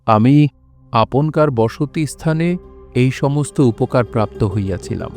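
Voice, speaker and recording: An actor playing a courteous, grateful nobleman who recounts his past in formal, measured words in an audio drama. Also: medium pitch, lively intonation, a little mellow. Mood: grateful, earnest. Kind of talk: audio drama first-person storytelling, formal literary diction. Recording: music behind the voice.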